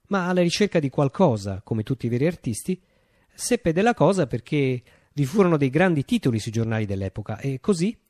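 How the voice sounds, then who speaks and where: A man reciting a theatrical monologue for radio, declaiming with dramatic pauses and emphatic phrasing. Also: medium pitch, darkish tone, dry recording, clean studio sound.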